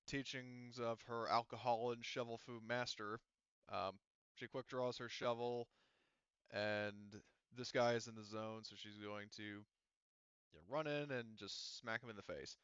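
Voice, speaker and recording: A man acting as game master for a tabletop roleplaying game, talking casually and haltingly as he works through rules and narrates combat. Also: medium pitch, fairly even intonation, fairly bright tone, smooth, no echo, muffled recording. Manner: casual, halting delivery while working out rules.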